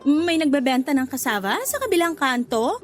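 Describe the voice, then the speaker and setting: A woman's voice: high voice, big pitch swings, a little fast, soft background music. A woman playing a flirty, easily offended and jealous market vendor in a Tagalog radio drama, with a teasing, pouty delivery. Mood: jealous, huffy.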